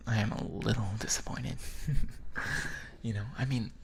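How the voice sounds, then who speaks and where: A man playing a shy, lovestruck friend in an ASMR boyfriend roleplay, speaking in a soft, breathy whisper with a hesitant, halting manner. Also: medium pitch, fairly even intonation, full character voice. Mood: wistful, apologetic. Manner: hesitant, halting, gently awkward. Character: shy, lovestruck male friend confessing feelings.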